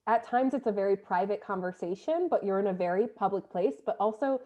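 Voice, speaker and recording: A woman's voice: medium pitch, fairly quick, warm tone, dull audio. A woman moderating an informal panel conversation, speaking casually and tentatively as she puts questions and reflects. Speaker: play director and panel moderator.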